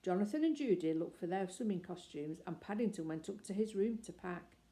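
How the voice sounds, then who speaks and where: A woman reading a children's book aloud in a gentle, expressive way. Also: lowish voice, fairly quick. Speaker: reader.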